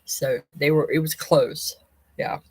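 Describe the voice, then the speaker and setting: A woman's voice: sits low, steady tone, takes it slowly, a little mellow, husky, dry recording. Dry-sounding, rambling woman in her fifties. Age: 50s. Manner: rambling, repeats and corrects herself, folksy wording. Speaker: personal vlogger giving a life update. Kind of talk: casual chat to viewers.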